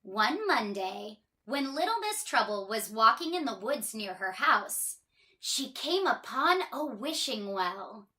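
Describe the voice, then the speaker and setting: High, bright, very animated woman storyteller. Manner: expressive, drawn-out emphasis. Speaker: children's storybook reader. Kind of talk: animated read-aloud storytelling for children.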